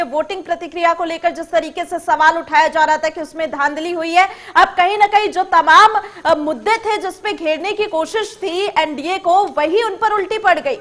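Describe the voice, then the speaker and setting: A woman anchors live television news in a very high voice. She speaks emphatically and circles back over her phrases as she goes. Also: a bit bright.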